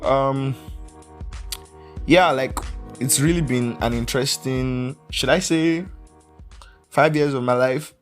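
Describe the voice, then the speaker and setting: A young man in his early twenties hosting a casual solo podcast, rambling, correcting himself and laughing often. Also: mid-range voice. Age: young adult. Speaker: podcast host.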